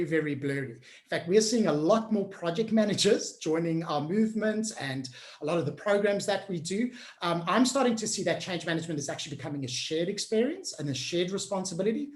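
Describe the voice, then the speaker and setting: A woman's voice: lowish voice, a little mellow, echoey room. A woman hosting an online workshop on change management. She coaches the group directly in an upbeat, encouraging way and keeps checking in with them.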